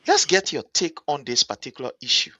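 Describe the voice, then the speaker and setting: Male host, higher-pitched, dull audio. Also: wildly swooping pitch, fairly quick, vocal fry, sharp tone, dry recording. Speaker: talk show host. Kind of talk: live political talk show.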